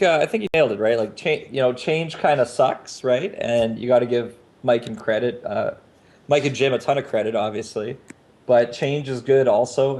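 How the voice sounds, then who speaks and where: A man's voice: medium pitch, a little mellow. A man hosting a video podcast over a video call, talking in a chatty, informal way. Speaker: podcast host. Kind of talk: casual video podcast talk. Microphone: Skype video call.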